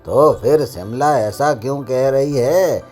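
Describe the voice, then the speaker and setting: A woman voicing a bumbling, easily amused king in a Hindi comedy cartoon, exclamatory and deliberate. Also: rumbling low, dark tone, faint music behind. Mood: puzzled, admonishing. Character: drowsy, easily amused king judging petty quarrels. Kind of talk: Hindi animated comedy acting.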